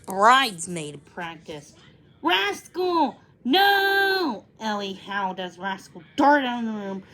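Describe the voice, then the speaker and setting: A woman with a fairly high voice reads a children's storybook aloud. She works through the text as a read-along, with a slightly halting delivery that stumbles and restarts here and there. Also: a bit bright, rough recording.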